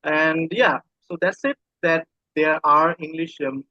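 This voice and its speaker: A man's voice: fairly high pitch, measured pace, dry recording, muffled recording. A man from Bangladesh with a Bangladeshi accent, a native Bengali speaker, talking casually as an interview guest and often repeating himself hesitantly.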